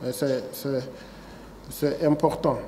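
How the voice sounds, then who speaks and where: A man's voice: mid-range voice, fairly even intonation, slow pace, mellow, slight background noise. Senegalese man giving a formal speech. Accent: Senegalese French. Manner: reads prepared text, then digresses with many fillers and restarts. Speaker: agency director giving a speech. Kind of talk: formal speech at a signing ceremony. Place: indoor conference room. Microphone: table microphone.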